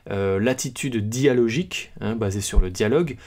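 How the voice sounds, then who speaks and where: A man hosting a podcast, talking through a book's ideas in a loose, unscripted way, with frequent pauses and restarts. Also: lowish voice, darkish tone. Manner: hesitant, many fillers and restarts; self-deprecating humor. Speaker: podcast host presenting a book. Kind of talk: casual spoken book presentation.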